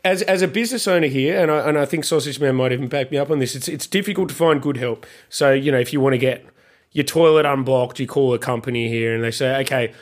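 A man co-hosting a casual podcast, bantering quickly with plenty of swearing and little rants. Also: mid-range voice. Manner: fast, swearing, ranting. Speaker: podcast co-host.

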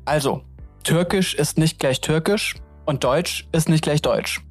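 Host: man, a bit high, friendly interviewer, soft music under him. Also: unhurried. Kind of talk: radio-style interview and narration.

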